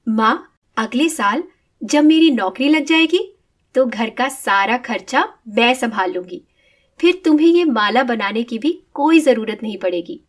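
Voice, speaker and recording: A woman's voice: a little high, animated, unhurried. A woman voicing a devoted young adult daughter in a moral story, earnest and insistent. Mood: devoted, determined. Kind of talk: animated moral story dialogue.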